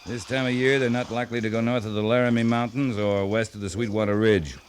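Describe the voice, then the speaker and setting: A man's voice: on the low side, fairly even intonation, fast, natural voice. A man playing a seasoned, commanding cavalry captain in a 1950s radio western, speaking in a clipped, dryly understated, authoritative way. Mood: stern, businesslike. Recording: noisy vintage 1950s radio recording.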